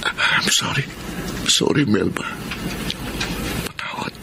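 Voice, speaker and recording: A Filipino man playing an anguished married man confessing forbidden love in a melodramatic radio drama, speaking in a halting, emotional way. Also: very noisy, natural voice. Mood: remorseful. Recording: music bed under the voice.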